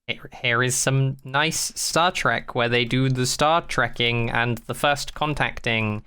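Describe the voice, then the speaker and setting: A man's voice: medium pitch, slow pace, sharp tone, clear, resonant tone. A man co-hosting a podcast, chatting casually about sci-fi in a jokey, rambling, sweary way. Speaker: podcast co-host. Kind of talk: casual comic banter about a sci-fi show.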